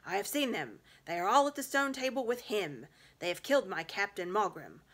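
A woman's voice: medium pitch, big pitch swings, fairly quick, fairly bright tone. A woman voicing an urgent, alarmed wolf messenger in a storybook reading. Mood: frantic alarm. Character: frantic wolf messenger serving the witch.

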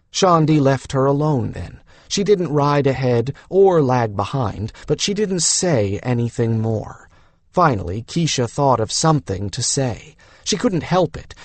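A man's voice: mid-range voice, no echo. A man reading a fantasy novel aloud as a scripted audiobook narration.

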